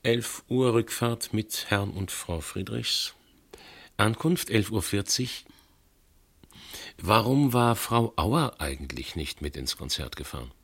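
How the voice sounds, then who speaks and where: An actor playing a matter-of-fact police detective in a German radio crime drama, questioning in a clipped, methodical way. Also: male voice, lowish voice, lively intonation, slow pace, breathy edge, heavily acted. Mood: methodical, probing. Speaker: police investigator.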